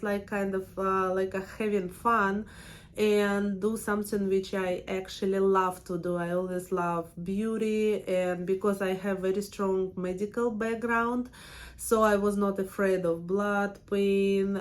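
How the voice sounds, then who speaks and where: A woman in her thirties from Siberia with a strong Russian accent, chatting casually in a personal vlog and laughing often. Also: mid-range voice. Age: 30s. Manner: chatty and warm, laughs often, many fillers. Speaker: permanent makeup trainer and channel host.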